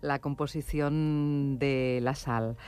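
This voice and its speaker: A woman interviewing a writer, asking scripted questions in a measured, composed presenter manner. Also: rumbling low, fairly even intonation, darkish tone, smooth, soft background music. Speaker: radio interviewer.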